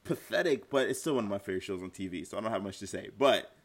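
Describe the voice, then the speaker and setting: A man's voice: on the low side, animated, very fast. A man giving emphatic opinions in a casual chat about TV shows.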